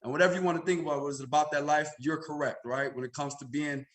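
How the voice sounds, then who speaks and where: A man with an American accent speaks in a somewhat high register. He tells personal stories in a casual, conversational interview style, peppering them with little tags that check the listener is following. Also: steady tone, fast talker. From Chicago, United States. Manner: conversational, frequent "you know" and "right?" tags. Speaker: podcast guest, insurance agency senior executive.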